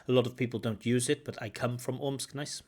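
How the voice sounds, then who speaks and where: A man from Wales, an English examiner, giving feedback on a speaking test and explaining points with examples and gentle corrections. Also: mid-range voice, steady tone, fairly quick. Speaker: IELTS examiner and teacher. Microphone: video call.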